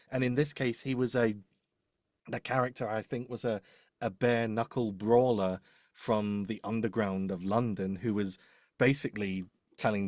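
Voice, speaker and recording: A man's voice: mid-range voice, no echo, studio quality. A man giving casual professional advice as a demo producer in a live discussion, often correcting himself and repeating phrases. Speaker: voice-over demo producer and host.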